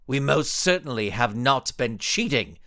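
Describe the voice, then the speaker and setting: Storybook skull-headed villain, performed by a man: haughty, formal speech, animated delivery. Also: medium pitch, unhurried, no room sound, studio quality, full character voice. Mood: indignant. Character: indignant male skull-headed villain coaching children's soccer.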